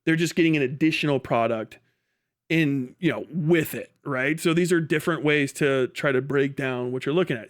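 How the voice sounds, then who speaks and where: A man hosting a livestream, giving off-the-cuff commentary in an emphatic, repetitive, argumentative way with casual swearing. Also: on the high side. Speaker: live stream host.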